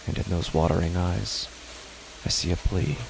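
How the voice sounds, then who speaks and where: A man narrating a first-person horror story in a slow, measured, hushed way over loud background music. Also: low-pitched, steady tone, creaky, background noise. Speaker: narrator and podcast host.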